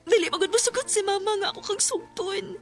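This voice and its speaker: A woman's voice: very high-pitched, fast, soft background music. A woman playing a frank young woman in a radio drama, the lead's love interest. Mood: apologetic.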